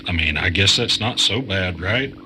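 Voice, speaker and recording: A man's voice: low-pitched, lively intonation, normal speed, noisy background. A man playing a weary young gamer in an audio drama, telling and living a mystery in a plain-spoken, understated way. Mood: uneasy. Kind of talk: audio drama narration and dialogue.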